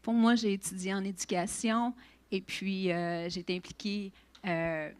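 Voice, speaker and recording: A woman's voice: mid-range voice, fairly even intonation, unhurried, smooth. A woman in her fifties with a Québécois accent, introducing herself as a guest speaker at church, chatty with frequent fillers and restarts. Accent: Québécois French. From Quebec. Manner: warm, chatty, laughs often, many restarts and fillers.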